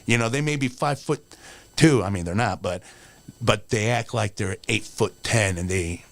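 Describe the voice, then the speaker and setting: Brief: forties male pro wrestler, slightly low voice, heartfelt, rambling pep talk. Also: moderate pace, a bit bright. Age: 40s. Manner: earnest, motivational, rambling with many fillers. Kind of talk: casual podcast interview.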